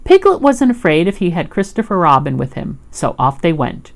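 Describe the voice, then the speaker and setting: A woman reading a children's story aloud as an expressive storyteller. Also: sits low, a little fast, vocal fry.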